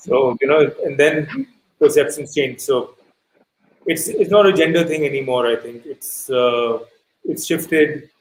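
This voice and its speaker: Brief: talkative man, higher voice, roomy echo, faint background hum. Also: lively intonation, slow, pauses often. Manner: chatty, self-correcting, acts out quotes. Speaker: filmmaker guest. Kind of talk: casual panel chat. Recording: echoey room, faint hum.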